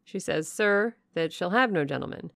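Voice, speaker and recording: A woman's voice: fairly low pitch, lively intonation, moderate pace, vocal fry, a bit bright, no echo, clean studio sound. A woman voicing a blunt, put-upon male footman who reports messages plainly.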